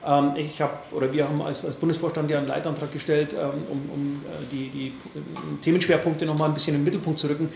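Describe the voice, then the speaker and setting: A man in his forties with an Upper Palatinate accent, answering questions at a press conference in a halting way, with many fillers and restarts. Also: fairly high pitch, level tone, creaky, reverberant, a little hiss or hum. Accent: Upper Palatinate, Franconian-tinged. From Bavaria, Upper Palatinate. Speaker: party board member at press conference.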